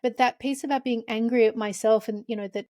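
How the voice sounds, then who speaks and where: Woman, podcast host, from Lebanon, emotional and reflective, fairly high voice, dry close sound. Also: steady tone, fairly quick, dark tone, no echo. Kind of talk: casual chat.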